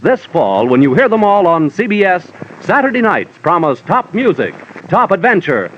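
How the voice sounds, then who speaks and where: A man's voice: high voice, sing-song, moderate pace, fairly bright tone. A man announcing promotions in 1940s radio style, with a polished, punchy salesman's delivery. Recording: muffled, slightly noisy vintage radio.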